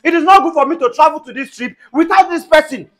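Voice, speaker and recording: A man's voice: extremely high pitch, fast, a bit bright. A man playing a blustering husband in a comedy skit, stammering and tacking "eh?" onto his lines. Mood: defensive. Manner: tag-question "eh?" after nearly every line, stammers. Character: blustering husband caught in a lie.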